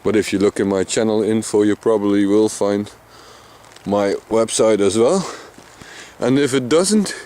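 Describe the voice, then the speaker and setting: A man from Limburg with a Dutch accent and a deep voice, chatting casually to his camera outdoors while out on a bicycle trip, sometimes mumbling a little. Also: a little slow, dark tone. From Limburg, Netherlands. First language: Dutch Limburg dialect. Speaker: vlogger. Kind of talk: casual outdoor vlog narration. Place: outdoors in flat open countryside.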